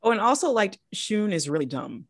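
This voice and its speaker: Female podcast co-host, animated intonation, mid pitch, casual joking banter. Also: a little fast, no room sound, studio quality.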